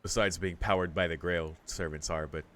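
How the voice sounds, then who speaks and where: Low-pitched man doing game commentary. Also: steady tone, moderate pace, fairly bright tone. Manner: casual, rambling, slangy. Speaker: gaming video host. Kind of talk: let's play commentary and reading aloud.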